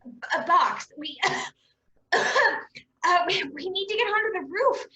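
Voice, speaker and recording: A woman's voice: very high-pitched, animated, slow, bright, forceful tone, distant mic, heavily acted. A woman playing an exasperated, sarcastic law officer who scolds, in a comedic audio drama, recorded roomy and off the microphone.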